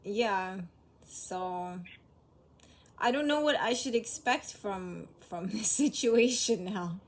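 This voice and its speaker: A woman's voice: mid-range voice, slow pace. A mother talking hesitantly in a casual conversation, often trailing off with "I don't know.".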